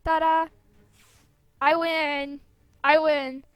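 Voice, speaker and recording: High-pitched playful woman gaming host. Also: little pitch movement, drawn-out words, no echo. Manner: playful, chatty, exclaiming. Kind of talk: casual gameplay commentary with read-out game dialogue.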